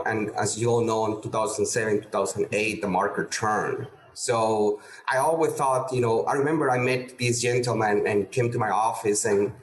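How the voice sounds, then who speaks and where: A middle-aged man answers interview questions casually, stumbling through restarts and fillers. His voice sits at a medium pitch. The recording has a roomy, echoey sound. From Peru. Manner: halting, many restarts and fillers. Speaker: guest real estate investor.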